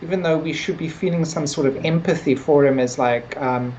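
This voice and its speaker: Low-pitched woman in casual review chat. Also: a little fast, warm tone, muffled recording, a little hiss or hum. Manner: hedging, conversational, frequent "like" and "sort of". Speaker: podcast co-host discussing a TV series.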